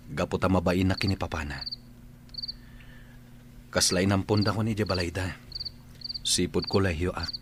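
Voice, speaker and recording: A man's voice: low-pitched, natural voice. An actor playing a troubled young man torn between two loves, earnest and burdened, in a radio drama. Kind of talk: Ilocano radio drama acting. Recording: noisy track with clicks and insects.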